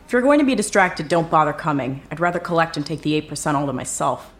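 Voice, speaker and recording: A tough money-minded collector lady, lowish voice, blunt, businesslike, audio drama. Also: animated, fast. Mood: stern, impatient. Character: hard-nosed, blunt, mercenary woman debt collector.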